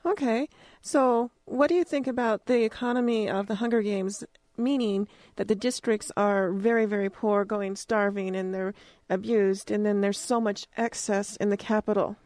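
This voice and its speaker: A woman hosts a radio interview in a conversational style. Her pitch is average for a woman, and the studio sound is dry, with hardly any echo. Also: steady tone, normal speed, darkish tone.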